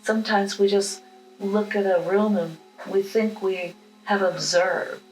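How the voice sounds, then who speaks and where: A woman leading a guided meditation with affirmations, speaking in a calm, unhurried, instructional manner. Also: mid-range voice. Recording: roomy echo with music behind.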